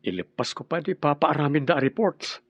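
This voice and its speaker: A man's voice: fairly high pitch, sing-song, moderate pace, no echo. An actor playing an easygoing, teasing Filipino family man in an Ilocano radio drama. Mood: earnest.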